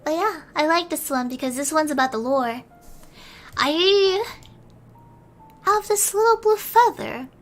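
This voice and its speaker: A woman streamer reading a bedtime story in a soft ASMR style, at times slipping into a whisper. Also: high-pitched, expressive, a little slow, pauses often, faint music behind. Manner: soft-spoken, sometimes whispering.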